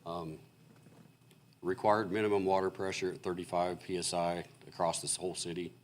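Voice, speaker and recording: Deep-voiced male engineer, hoarse from losing his voice, walking a city board through a report, halting and filler-heavy. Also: flat delivery, slight background noise. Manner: halting and explanatory, many fillers and restarts. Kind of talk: technical presentation at a public meeting. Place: city council meeting room.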